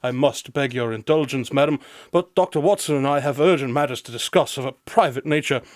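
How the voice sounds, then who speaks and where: A man playing an impatient, pompous gentleman detective in a radio drama, speaking in formal, wordy phrases. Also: mid-range voice, brisk, no room sound, clean studio sound, close to an everyday voice. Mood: brisk, dismissive.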